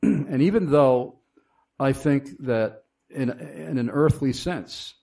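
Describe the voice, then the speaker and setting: A man's voice: medium pitch, slow, creaky, warm tone. A man hosting a radio talk show, reading listener questions and speaking emphatically.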